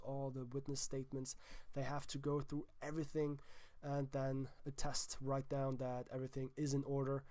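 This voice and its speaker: A man's voice: medium pitch, a little mellow, no room sound. A young man with a German accent, hosting his own film vlog and sick, talking in a chatty, emphatic way with restarts. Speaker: film vlogger, host. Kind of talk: casual vlog chat.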